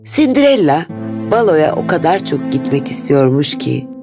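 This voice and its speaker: Low-voiced woman narrating a fairy tale. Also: sing-song, moderate pace. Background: instrumental music.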